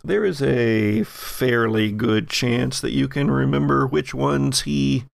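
Male game master for horror tabletop play, gravelly, deliberate storyteller, very dry recording. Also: medium pitch, steady tone, no echo.

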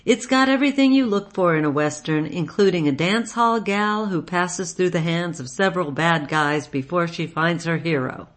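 A woman delivers a scripted promotional introduction as a presenter. Her voice is somewhat low for a woman. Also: moderate pace, hardly any pauses. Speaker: rebroadcast presenter.

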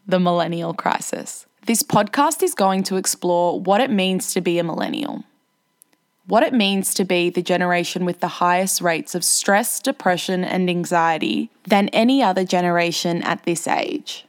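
A woman's voice: lowish voice, moderate pace. A woman in her twenties hosting her own podcast, reading a scripted narration in a candid, self-deprecating way. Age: 20s. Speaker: podcast host.

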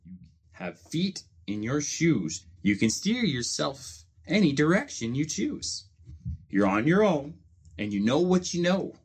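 Husky man reading a storybook with lively pitch. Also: a little slow. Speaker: host reading a children's book aloud. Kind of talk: storybook read-aloud.

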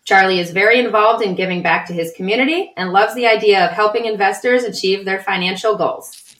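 A woman's voice: medium pitch, a little fast, fairly bright tone. A woman co-hosting a podcast who reads the guest's biography and then asks him a question. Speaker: podcast co-host. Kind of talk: reading a guest bio. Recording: roomy echo, distant from mic.

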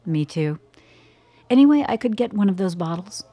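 A woman's voice: medium pitch, animated, moderate pace, dark tone, dry recording, natural voice. A woman acting in a radio play as a poised, seductive stranger in her forties, coolly amused.

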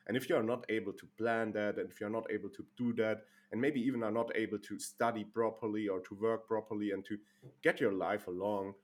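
A man's voice: on the low side, fairly even intonation, brisk. A young man in his twenties from Germany, a podcast guest who speaks thoughtfully with frequent halts and repeated words. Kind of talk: casual podcast chat.

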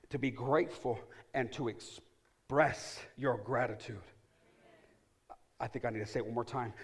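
A middle-aged man preaching a sermon as pastor, repeating phrases for emphasis and swinging from loud calls to hushed asides. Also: mid-range voice.

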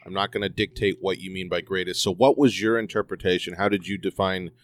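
A man hosting a board game podcast, introducing the episode and interviewing a guest in a measured, conversational way. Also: sits low, steady tone. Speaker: podcast host.

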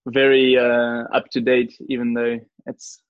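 A man's voice: mid-range voice, monotone, unhurried, no room sound, highs cut off. A young man from Switzerland with a New Zealand-influenced accent, chatting earnestly and casually as an interview guest. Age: young adult. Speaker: podcast guest, church worship singer.

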